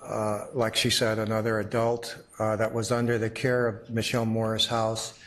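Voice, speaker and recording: A middle-aged man giving public comment at a county board meeting, speaking haltingly with many fillers. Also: on the low side, monotone.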